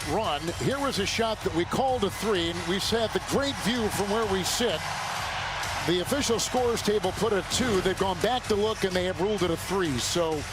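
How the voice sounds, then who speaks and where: A man's voice: sits high, normal speed. A man doing live basketball play-by-play commentary in clipped, dramatic phrases. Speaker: play-by-play announcer. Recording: loud arena crowd noise. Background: roaring basketball arena crowd.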